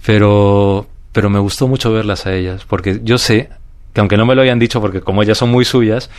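Calm low-voiced Peruvian man. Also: brisk, smooth. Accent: Peruvian Spanish. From Peru. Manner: calm, thoughtful, many restarts and "¿sabes?". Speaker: guest, paranormal investigator. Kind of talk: reflective interview talk.

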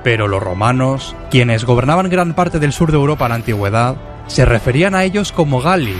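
A man reading a scripted history narration in an even, measured way over background music. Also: medium pitch. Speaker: documentary narrator.

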